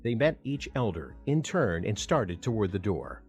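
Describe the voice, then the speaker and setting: A man's voice: lowish voice, sing-song, moderate pace, soft background music. A man narrating an audio drama in a scripted storytelling style.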